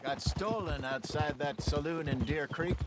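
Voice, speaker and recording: Male actor as jovial American Western outlaw, folksy and teasing, high voice with big swings, horse sounds loud behind. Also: measured pace, heavy background noise, natural voice. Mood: reminiscing. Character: jovial, confident middle-aged male Old West outlaw. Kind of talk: naturalistic video game cutscene acting. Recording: clear outdoor game sound effects, horse hooves.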